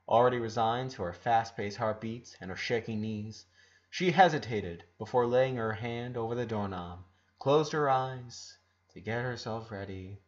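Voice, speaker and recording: A man reading a story aloud as a narrator, with expressive, emphatic delivery and dramatic stresses. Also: lowish voice. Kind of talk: scripted narration, audiobook-style story reading. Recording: dull lo-fi recording.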